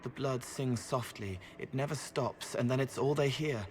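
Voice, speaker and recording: Man playing a soft, haunted, otherworldly youth. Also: medium pitch, normal speed, lightly acted. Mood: haunted worry. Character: eerie, gentle, otherworldly young man. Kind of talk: fantasy game acting.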